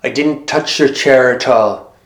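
A storyteller voicing a grumpy son-in-law who speaks low and curt. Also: a little slow, lightly acted. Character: grumpy middle-aged Irish son-in-law. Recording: roomy echo.

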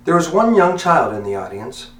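A man hosting a storytelling podcast, speaking and reading in a reflective, deliberate, measured way. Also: fairly high pitch, very animated, fairly bright tone. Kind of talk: reflective podcast talk with read-aloud essay. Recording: echoey room, distant from mic.

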